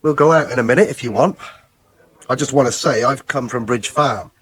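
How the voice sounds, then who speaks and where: A man playing an earnest, stiff working countryman in a radio soap, with an English accent and a husky voice. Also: mid-range voice, a little fast, natural voice. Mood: contrite, stiff. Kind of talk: naturalistic radio soap acting.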